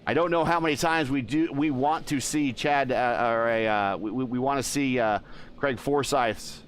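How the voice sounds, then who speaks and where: A man's voice: medium pitch, moderate pace, slight background noise. Man calling a virtual truck race. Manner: excitable play-by-play, chatty with stream chat. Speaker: lead race commentator. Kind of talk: live sim racing commentary.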